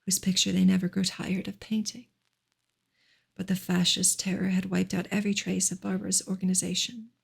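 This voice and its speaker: A woman speaks in a soft ASMR manner, gentle and close to a whisper. Her voice is extremely breathy with a creaky edge, and her intonation stays quite flat at a medium pitch. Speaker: ASMR streamer and reader. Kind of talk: soft-spoken ASMR book reading and chat.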